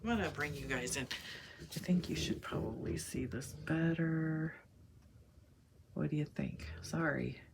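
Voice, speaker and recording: Gravelly woman crafting with a chest cold. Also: sits low, fairly even intonation, a little slow. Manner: muses aloud, drops to near-whispers while working. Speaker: junk journal crafter. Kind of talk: casual crafting chat to viewers. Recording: noisy home recording with clicks.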